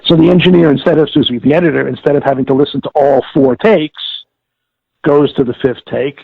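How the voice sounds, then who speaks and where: An older man with a heavily creaky, husky voice gives an interview over a narrow, phone-like connection. He explains things deliberately, stopping to correct himself in rather formal wording. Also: fairly high pitch, steady tone. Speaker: interviewee, former audio producer-director. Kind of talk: reminiscing interview, explaining a process. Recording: tinny phone-like line with room echo.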